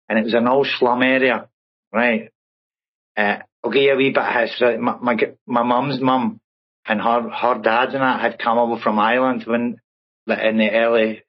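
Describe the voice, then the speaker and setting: A man's voice: mid-range voice, highs cut off. A man in his fifties with a Glaswegian accent, telling his life story as an interview guest in a chatty way, often adding "right?". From Scotland. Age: 50s. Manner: chatty, peppers speech with "right?".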